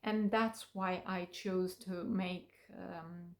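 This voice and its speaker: A woman whose native language is Romanian, speaking English in an unhurried, casual way to her viewers and pausing often with fillers. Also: on the low side, steady tone, darkish tone. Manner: unhurried, with many fillers and pauses. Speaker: vlogger. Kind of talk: casual talk to camera.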